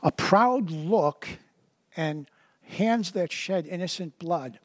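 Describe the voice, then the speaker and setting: A man preaching a sermon, reading scripture slowly and deliberately, then talking it over in a conversational way and often repeating words as he starts a sentence. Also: high-pitched, dry recording.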